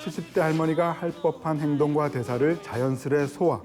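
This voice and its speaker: Man hosting a film show, slightly high voice, measured delivery, music behind. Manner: smooth, measured presenter delivery. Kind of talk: scripted narration and studio chat. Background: background music.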